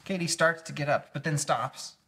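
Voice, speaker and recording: A man's voice: a little high, normal speed, creaky, pushed and bright. A young man casually reading script directions aloud at a table read. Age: young adult. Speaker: stage-direction reader. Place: small indoor room at a table.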